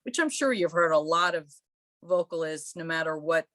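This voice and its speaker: A woman's voice: low-pitched, lively intonation, moderate pace, no echo, studio quality. A woman born in Croatia, a musician guest in a podcast interview, telling stories in a chatty, friendly way. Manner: warm, chatty storytelling with laughs. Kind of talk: casual interview.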